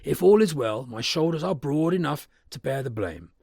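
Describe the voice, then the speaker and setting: A man voicing a stern middle-aged male lawyer who talks in a formal, commanding way with a gravelly edge. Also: a little high, animated, moderate pace, darkish tone, no echo, studio quality, pitched above the performer's own voice, exaggerated voice. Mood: resolute.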